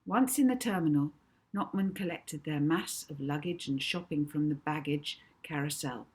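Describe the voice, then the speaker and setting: A woman reading a children's novel aloud as expressive audiobook narration. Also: deep voice, moderate pace, husky.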